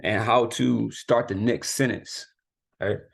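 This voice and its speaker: A man's voice: mid-range voice, steady tone, takes it slowly, vocal fry. A man giving a casual spoken lesson as a youth teacher, talking informally and often tagging "right?" and "you know" onto his sentences. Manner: laughs off his own mistakes.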